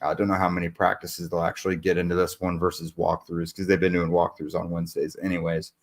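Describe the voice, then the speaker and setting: A man's voice: deep voice, little pitch movement, fast talker. A man hosting a sports podcast, recapping a game in a casual way with lots of fillers and restarts while losing his voice. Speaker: podcast host.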